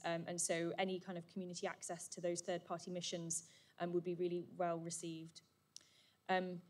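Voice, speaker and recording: A woman giving a brisk, upbeat summary at a conference, with frequent "um" fillers. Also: fairly low pitch. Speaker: session chair presenting summaries. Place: conference hall. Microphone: handheld or podium microphone.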